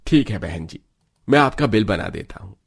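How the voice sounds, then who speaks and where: A man's voice: fairly low pitch, lively intonation, moderate pace, vocal fry, slightly rough, exaggerated voice. Man playing an eager cartoon shopkeeper. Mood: obliging, curious. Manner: ingratiating to customers, scolding to staff. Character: obliging shopkeeper who yells at his lazy helper.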